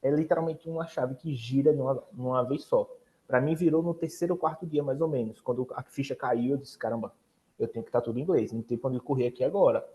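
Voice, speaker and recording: A man's voice: medium pitch, quick pace, mellow, rough recording. A man, an exchange program advisor explaining in casual chat and addressing viewers directly.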